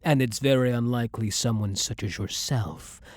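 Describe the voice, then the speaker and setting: A man playing a blunt, dominant, centuries-old vampire hunter in an intimate ASMR roleplay, speaking deliberately and breathily with a commanding, interrogating manner. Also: mid-range voice, no room sound, clean studio sound, natural voice. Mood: grim, matter-of-fact.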